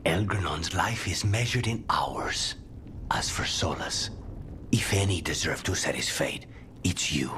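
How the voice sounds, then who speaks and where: Brooding game assassin, breathy, low, slow, faint noise behind. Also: male voice, animated, bright, forceful tone, exaggerated voice. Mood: grave, menacing. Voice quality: breathy, half-whispered. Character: brooding, impatient, courteous master assassin. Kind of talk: dramatic video game acting.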